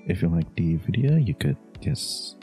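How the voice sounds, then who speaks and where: Male audio creator, casual outro read, gravelly low voice. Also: big pitch swings, normal speed, warm tone, faint music behind. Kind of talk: casual sign-off to listeners.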